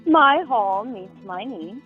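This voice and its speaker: A woman's voice: a little high, expressive, takes it slowly. An actress playing a prim, mournful young lady in a radio play, formal and melodramatic in manner. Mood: prim, demure. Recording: tinny phone line with music behind.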